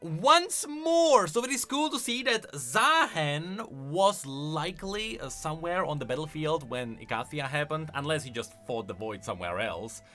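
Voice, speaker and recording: A male commentator with a fairly high, very bright and forceful voice. He explains things off the cuff with big swings of emphasis, over background music. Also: lively intonation, moderate pace, few pauses. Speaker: video host, game lore commentator.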